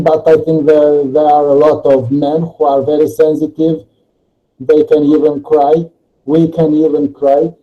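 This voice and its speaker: A man moderates a discussion in English. His voice sits a bit high and moves very slowly, with halting, deliberate phrasing. The recording is slightly dull and lo-fi. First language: not English. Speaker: discussion host. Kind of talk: casual moderated panel discussion. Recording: dull, lo-fi sound.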